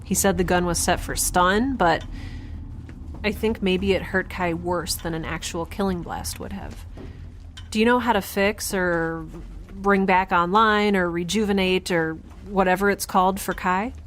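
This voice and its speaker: A woman's voice: on the low side, fairly even intonation, fairly bright tone, slight background noise. A woman playing a frazzled, wry young scientist in space, rambling and correcting herself as she talks in an audio drama. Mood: weary, anxious. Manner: halting, rambling, self-correcting. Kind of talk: audio drama, conversational log-diary monologue and dialogue.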